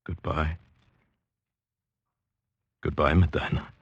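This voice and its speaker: A man's voice: extremely low pitch, level tone, slow, creaky, highs cut off, full character voice. A man acting a haunted, passionate mountaineer in an old radio drama, telling his story in a lyrical, dramatic way. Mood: tender, torn. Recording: old radio recording, orchestral score behind.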